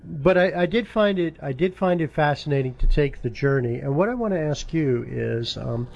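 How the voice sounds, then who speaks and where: A male radio host interviewing an author and taking calls, asking long, relaxed questions. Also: on the high side, moderate pace, vocal fry. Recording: dull lo-fi sound.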